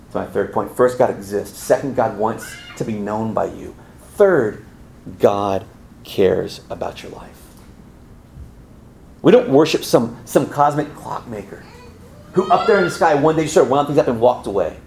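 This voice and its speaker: A man preaching to university students, animated and joking between points. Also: mid-range voice, slight background noise. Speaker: campus ministry speaker. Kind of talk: sermon to students.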